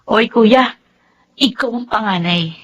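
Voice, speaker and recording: A woman's voice: on the high side, takes it slowly, fairly bright tone, breathy edge, lo-fi sound, exaggerated voice. A woman playing a cheeky, nagging younger sister in a Filipino radio drama, with a Filipino accent and a teasing, needling manner.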